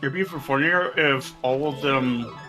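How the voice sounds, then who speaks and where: A man commentating a live game race in a casual chat, breaking down the game logic and throwing in quick jokes. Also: medium pitch, sharp tone, soft background music, poor audio. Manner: jokey, analytical, quick asides.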